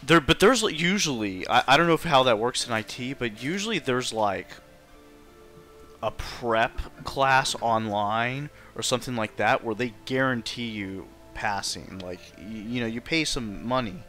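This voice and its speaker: A male streamer with a bright, forceful voice chats casually. He talks loosely and haltingly, with plenty of slang. Music plays faintly in the background. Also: medium pitch. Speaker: game streamer. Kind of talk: casual chat.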